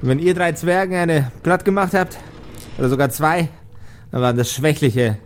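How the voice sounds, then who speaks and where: A nervous old wizard played by a man, slightly high for a male voice, in a fantasy audio play. He stammers, repeats himself and grumbles as though every problem lands on him. Some noise sits behind the voice. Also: normal speed, lightly acted. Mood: grim, hesitant. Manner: stammering, flustered, muttering repetitions.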